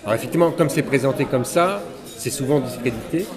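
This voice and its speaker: A middle-aged man from the audience speaking articulately and deliberately in a street-style interview. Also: medium pitch, music in the background. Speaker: audience member. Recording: busy crowd behind.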